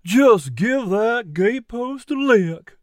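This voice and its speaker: A sassy storybook mare, performed by a man, high in pitch and heavy on sarcasm. The words come out in a slow, knowing drawl. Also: expressive, darkish tone, dry recording, clean studio sound, heavily acted. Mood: sly. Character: sassy, sarcastic female horse.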